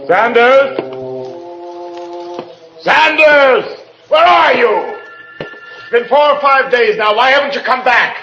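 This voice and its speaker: An actor playing an obsessed, unhinged husband in an old-time radio thriller, theatrical and halting, moving between muttering and frantic intensity. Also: male voice, sits high, sharp tone, heavily acted. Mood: frantic, calling out. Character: obsessed, unhinged husband researching ghosts, secretly murderous. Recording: dull vintage radio recording with music.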